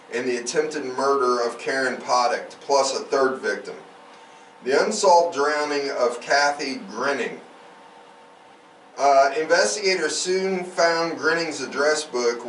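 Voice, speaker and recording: A man's voice: mid-range voice, fairly bright tone. A man hosting a true-crime podcast, telling cases informally with emphatic, opinionated commentary. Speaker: podcast host. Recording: roomy, distant mic, faint noise.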